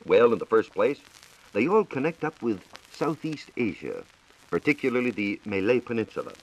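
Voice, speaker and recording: A man's voice: medium pitch, very animated, moderate pace, poor audio. A man with an American accent giving a formal, explanatory scripted science talk on 1940s radio. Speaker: science reporter.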